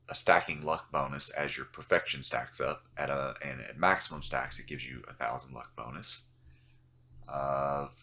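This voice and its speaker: A man with an extremely low voice talks through a game guide in a relaxed voice-over. He sounds stuffy, still getting over the flu, and he rambles with plenty of fillers. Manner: loose, rambling, many uh fillers. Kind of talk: casual explanatory voice-over.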